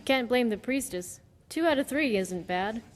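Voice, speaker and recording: A woman's voice: fairly high pitch, expressive, normal speed, in a large hall, lightly acted. A woman playing a wry, roguish blind adventurer in a video game, telling her tale with dry, sardonic humour. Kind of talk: video game companion monologue.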